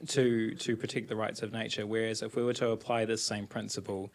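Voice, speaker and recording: NZ man, podcast guest, earnest and measured, lowish voice. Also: little pitch movement. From New Zealand. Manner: earnest, measured, weaves Māori words into speech. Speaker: guest, climate activist. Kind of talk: podcast interview.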